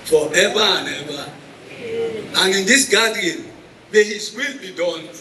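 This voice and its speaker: A man with a Sierra Leonean accent leading a public prayer in a solemn, measured way. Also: sits high, reverberant, crowd noise, rough recording. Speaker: prayer leader. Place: hall at a town hall meeting.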